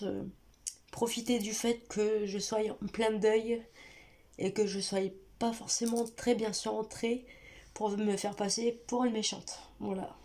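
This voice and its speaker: A young woman talks straight to camera, her nose blocked up with a cold. She speaks at a middling pitch in a flat, unvaried line, stopping and restarting often as she finds her words. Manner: hesitant, many fillers and restarts, speaks straight to viewers. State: stuffy-nosed with a cold. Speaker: vlogger. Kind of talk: personal confessional vlog talk.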